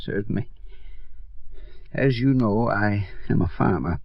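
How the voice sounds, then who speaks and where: Slow, weary, ailing farmer. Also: male voice, lowish voice, gravelly, no echo. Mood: weary, troubled. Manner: halting, weak, laboured. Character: ailing, weary male Caribbean farmer, troubled and earnest. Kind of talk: 1950s radio drama acting. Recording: dull old radio recording.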